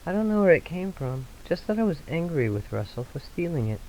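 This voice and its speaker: Man putting on a breathless, high young woman. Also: big pitch swings, fairly quick, mellow, a little hiss or hum, rough recording. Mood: sharp, surprised. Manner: breathless, urgent questioning.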